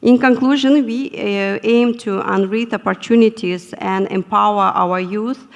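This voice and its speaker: A woman moderates a formal conference panel, her voice carrying a gravelly rasp. She speaks in a measured way, pausing often with fillers. The sound rings with the long reverb of a large hall. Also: medium pitch, fairly even intonation. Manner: measured, with frequent hesitations.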